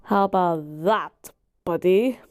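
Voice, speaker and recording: Slow, mellow ancient genie acted by a woman. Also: lowish voice, no echo, soft background music. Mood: grand, teasing. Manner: casual, teasing, conversational asides. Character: ancient all-powerful genie, wry, chatty, servant to a master. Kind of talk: in-character roleplay monologue to the listener.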